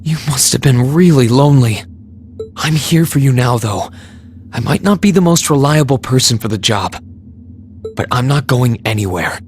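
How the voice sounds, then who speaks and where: A man playing an earnest, kind young hero in a video game, speaking in a gentle, thoughtful and sincere way. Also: medium pitch, animated, moderate pace, faint music behind, close to an everyday voice. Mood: tender, apologetic. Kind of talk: dramatic video game voice acting.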